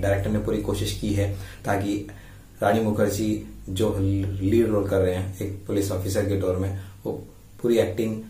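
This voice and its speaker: An Indian man with a slightly sore throat hosts a casual film review. His voice is low and extremely monotone, with halting, repetitive phrasing. It sounds a little distant from the microphone. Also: slight background noise. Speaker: YouTube film reviewer and host. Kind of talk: casual movie review to camera. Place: indoors before a green screen. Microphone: lapel mic. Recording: roomy echo, distant-sounding mic.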